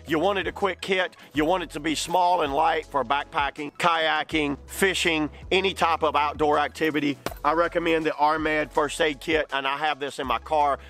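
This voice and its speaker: A man's voice: a little high, fairly bright tone. A middle-aged man giving a casual, rambling product review, full of fillers like "you know" and "kinda". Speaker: outdoor gear reviewer.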